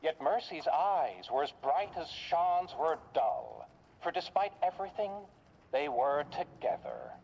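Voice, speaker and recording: A woman playing a folksy, eerie radio host who narrates macabre horror tales with theatrical pauses in a video game. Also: deep voice, big pitch swings, a little mellow, exaggerated voice, poor audio. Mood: macabre, wry.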